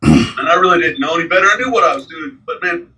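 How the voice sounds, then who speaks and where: A man's voice: fairly high pitch, sing-song, fast, bright, forceful tone, recorded from a distance. A man in his forties, a guest in a casual interview, rambling with lots of fillers. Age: 40s. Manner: rambling, frequent fillers like "man" and "you know".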